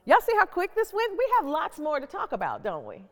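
A woman's voice: sits high, huge pitch swings, fairly quick. Woman moderator in an echoey hall. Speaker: host and panel moderator. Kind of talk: reflective discussion moderating. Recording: echoey hall with long reverb.

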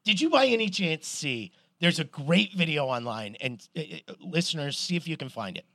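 A middle-aged man co-hosting a podcast, telling stories in an animated, swearing, casual way. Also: a little high, normal speed, dry recording. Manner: animated storyteller, swears, restarts a lot. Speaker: podcast co-host. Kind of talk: casual sports chat.

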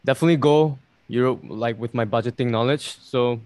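Brief: young male podcast host, easygoing and giggly, mid-pitched, dry close sound. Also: fairly quick, a little mellow, no room sound. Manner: chatty, laughs often, many "like" fillers. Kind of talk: casual podcast chat.

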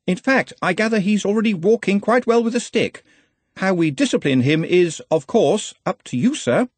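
A man's voice: sits high, lively intonation, moderate pace, no echo, voice pushed up. A man voicing an anxious, pedantic, long-suffering wizard's assistant in a storybook, fussy and deferential. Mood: fussy explaining.

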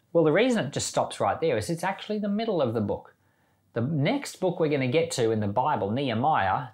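A man speaking as a pastor on a Bible podcast, explaining scripture in an earnest, informal, conversational way. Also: mid-range voice. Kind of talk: conversational Bible teaching with commentary.

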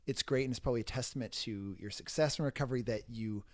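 Halting male interview host, dry sound. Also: medium pitch, no echo. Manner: halting, repeats words and restarts often. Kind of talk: casual conversational interview.

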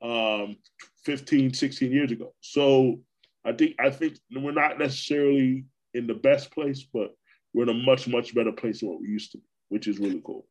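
A man from New York hosting a podcast, talking in a relaxed, casual way with lots of repeats and restarts. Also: medium pitch, moderate pace, low-quality recording. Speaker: podcast host. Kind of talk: casual conversation.

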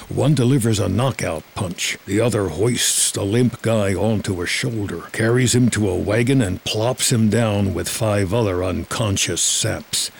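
A man's voice: fairly low pitch, gravelly, slight background noise. A man reading scripted screenplay narration as the narrator of a drama.

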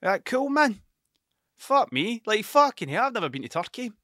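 A middle-aged Scottish man with a broad Ayrshire accent tells a long, rambling story, swearing freely and checking in with "do you know what I mean". His voice sits a little high and sounds bright and forward. The recording is close and dry, with no room sound. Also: big pitch swings, normal speed, dry recording. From Ayrshire, Scotland. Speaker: podcast host. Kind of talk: casual storytelling monologue.

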